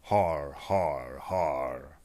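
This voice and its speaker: A man's voice: very deep voice, extremely slow, warm tone, exaggerated voice. A man voicing a huge, savage orc war boss, bellowing and gloating in a dramatic fantasy audiobook. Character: massive brutish orc war boss in mechanical armor.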